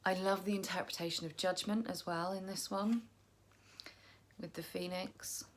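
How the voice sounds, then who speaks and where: A young woman chatting casually to camera about her tarot decks, tired and croaky, rambling and poking fun at herself. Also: fairly low pitch, steady tone, normal speed, slight background noise. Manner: chatty, self-deprecating, rambling. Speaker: tarot vlogger.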